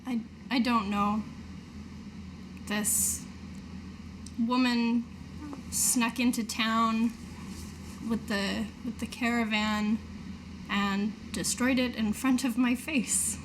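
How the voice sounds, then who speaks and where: Unhurried, high-voiced woman. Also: steady tone, noisy background. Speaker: player in a tabletop game. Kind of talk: casual in-character role-play.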